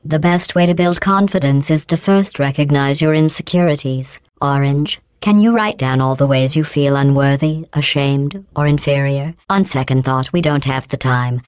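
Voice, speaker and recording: A woman voicing a cold, sarcastic female AI overseer in a video game, talking in a deadpan, condescending, passive-aggressive way with robotic processing. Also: low voice, normal speed, few pauses, smooth, no echo, clean studio sound. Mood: deadpan, mocking. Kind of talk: game voice clips.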